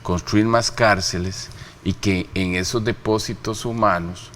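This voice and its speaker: Man, low-pitched, emphatic interview guest who argues with rhetorical questions. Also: steady tone, a little slow, fairly bright tone, a little hiss or hum. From Costa Rica. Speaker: interview guest, human rights official. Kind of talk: formal interview answers.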